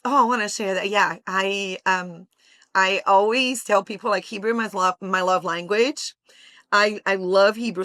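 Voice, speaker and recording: A woman's voice: medium pitch, a bit bright, no room sound. A woman in her forties from Brazil, talking earnestly and passionately as an interview guest. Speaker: guest, homeschooling mother. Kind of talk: interview conversation.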